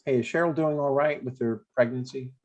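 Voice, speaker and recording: A man's voice: medium pitch, brisk, a little mellow, natural voice. An older man playing a blunt, stubborn but affectionate father in a comedy table read, over dull stream audio. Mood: mild, curious. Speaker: amateur actor.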